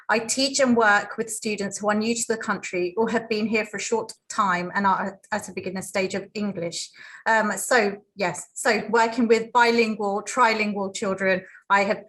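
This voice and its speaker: Woman, from Colombia, native Spanish speaker, teacher on a panel, earnest, measured, mid-pitched voice. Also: a bit bright, low-quality recording. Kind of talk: prepared personal talk on a panel.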